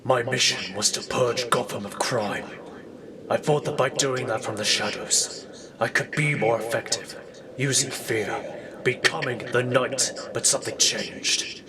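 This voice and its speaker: An actor playing a grim masked vigilante, speaking low, hushed and deliberate, in noir audio drama narration. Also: male voice, far from the mic, crowd noise, lightly acted. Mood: grim, brooding. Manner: slow, grave, deliberate. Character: brooding young masked male vigilante, grim and resolute.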